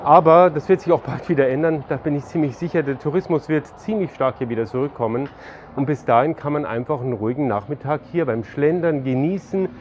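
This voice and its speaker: A man's voice: on the high side, muffled recording, background noise. A man in his forties presenting a travel vlog, talking and narrating as he shows places. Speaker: travel vlogger.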